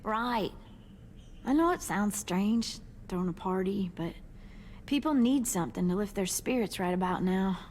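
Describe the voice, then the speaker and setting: A woman's voice: medium pitch, lively intonation, a little slow, darkish tone, a little hiss or hum, lightly acted. A woman playing a kindly, upbeat, slightly awkward teenage girl in a casual, friendly way for a cinematic video game. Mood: hopeful, friendly.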